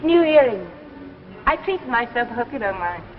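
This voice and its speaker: High-voiced, spiteful, taunting wife. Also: female voice, sing-song, moderate pace, soft background music. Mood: coy. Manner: theatrical, taunting, feigning innocence. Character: spiteful wife gloating over destroying her husband's work. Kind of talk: 1950s anthology drama acting. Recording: old muffled hissy broadcast, reverberant hall.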